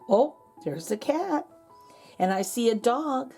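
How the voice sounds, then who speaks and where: A woman narrating a children's storybook aloud as a read-aloud host, expressive and drawing out key words. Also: on the high side, slow pace, breathy edge, faint music behind.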